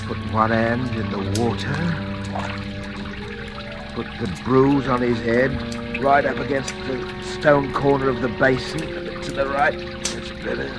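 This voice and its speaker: A man's voice: mid-range voice, steady tone, unhurried, lightly acted. Old time radio thriller guy playing a jumpy guilty man muttering his plans, music behind him. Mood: tense, furtive. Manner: agitated muttering to himself, theatrical. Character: panicky male ex-convict plotting to cover up a killing. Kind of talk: 1940s radio suspense acting.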